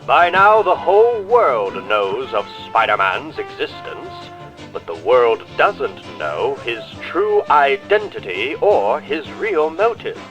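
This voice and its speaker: Guy doing a blustery publisher broadcast, sharp and animated, declamatory newsreel style, comic book drama. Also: mid-range voice, takes it slowly, soft background music, full character voice, rough recording. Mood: ominous. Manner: forceful, declamatory, indignant. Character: blustering, self-righteous male newspaper publisher on air.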